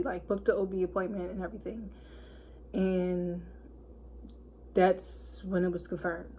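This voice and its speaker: A hoarse woman of twenty-one, chatting casually to camera and packing her talk with fillers. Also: on the low side, dark tone. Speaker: vlogger. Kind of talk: casual story time chat.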